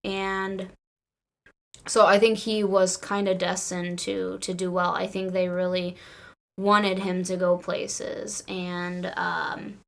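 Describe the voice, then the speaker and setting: A woman's voice: lowish voice, little pitch movement, takes it slowly, vocal fry. A woman chatting casually and earnestly as a panelist on a wrestling podcast. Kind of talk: casual wrestling fan chat.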